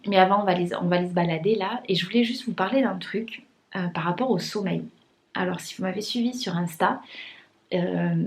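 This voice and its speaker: Chatty young woman vlogging, filler-heavy. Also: fairly low pitch. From France. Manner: chatty, many fillers and restarts. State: congested, blocked nose. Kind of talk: casual vlog chat to camera.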